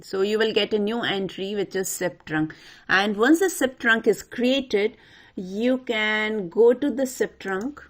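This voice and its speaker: A woman presenting an unscripted software tutorial, talking the viewer through each step in an instructional way. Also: mid-range voice, a little slow. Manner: instructional, addresses viewer directly. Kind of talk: step-by-step screen walkthrough, unscripted.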